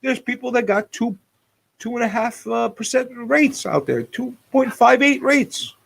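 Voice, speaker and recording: A man's voice: extremely high pitch, lively intonation, measured pace, vocal fry, a bit bright. Guy in his 40s doing a live radio show, super up and down voice, says stuff twice for emphasis, casual rambling. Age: forties. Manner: repeats phrases for emphasis.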